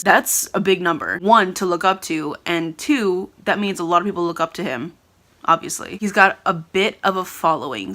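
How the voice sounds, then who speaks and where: A woman's voice: medium pitch, bright, forceful tone. A young woman from California, raised speaking Tagalog first, giving earnest and rambling commentary to her vlog camera. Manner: earnest, rambling, often laughing and restarting. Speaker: vlogger. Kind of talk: casual talking-to-camera commentary.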